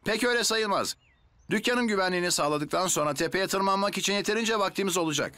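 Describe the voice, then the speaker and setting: An actor playing a reassuring, dependable firefighter hero in a children's cartoon dub, encouraging and authoritative. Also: male voice, high-pitched, fast talker, bright, forceful tone, slight background noise. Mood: firm, reassuring.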